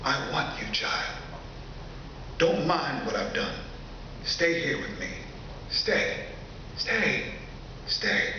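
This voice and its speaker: A man's voice: fairly high pitch, sing-song, drawn-out words, pauses often, sharp tone, voice pushed up, exaggerated voice. A lovesick, hard-drinking rich boss acted by a man speaks emotionally in a raised voice during a story reading. It sounds muffled and very reverberant, as in a large theater. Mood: desperate pleading. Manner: pleading, emotional. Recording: echoey theater hall, muffled, faint noise.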